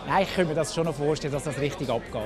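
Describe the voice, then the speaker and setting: A man in his fifties with a Swiss German accent, speaking rapidly and enthusiastically into a handheld mic at a crowded venue opening. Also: a little high, over music. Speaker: restaurateur. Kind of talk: casual interview. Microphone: handheld reporter mic.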